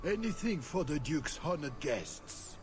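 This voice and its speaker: Game guard, deferential and formal, animated lighter male voice, music underneath. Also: a little high, unhurried. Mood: obliging. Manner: formal, courteous. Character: deferential male chateau guard, polite and obliging. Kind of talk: video game voice acting.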